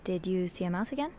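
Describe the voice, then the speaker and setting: An actress playing a friendly, inquisitive young hotel maid in a video game, talking casually with a slightly hesitant, teasing manner. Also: female voice, lowish voice, warm tone, slight background noise, close to an everyday voice. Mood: shy, teasing. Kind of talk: natural conversational game acting.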